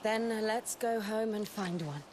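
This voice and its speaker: An actress playing a soft-spoken, steadfast, loyal heroine in a fantasy game cutscene, calm and deliberate. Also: female voice, medium pitch, a little hiss or hum, lightly acted. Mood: calm resolve. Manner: quiet, deliberate, supportive. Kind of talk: dramatic video game cutscene acting.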